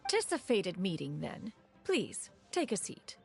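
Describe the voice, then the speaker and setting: A woman's voice: medium pitch, huge pitch swings, unhurried, creaky, breathy edge, full character voice. An actress voicing a proud, haughty adept woman in a video game, speaking in formal, archaic, long-winded phrases. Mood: curious. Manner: formal, archaic, verbose, speaks of herself as one. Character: haughty, proud, self-exalting adept woman of ancient stature. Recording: music under the voice.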